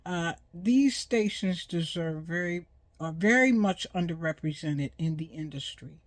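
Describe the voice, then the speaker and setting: Older female host, 60s plus, unhurried halting delivery, close dry sound, informal educational talk. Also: lowish voice, expressive, dry recording. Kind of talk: informal educational monologue on radio history.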